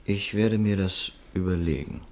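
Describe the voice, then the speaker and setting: An actor playing a guarded, evasive male car dealer deep in debt, in a radio play. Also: unhurried.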